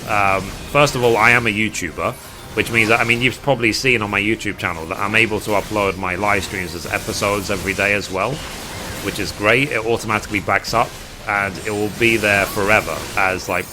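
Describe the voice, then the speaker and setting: Low-pitched British man streaming over music. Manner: rambling, reading chat aloud and replying. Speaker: gaming live streamer. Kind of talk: casual chat with live stream viewers.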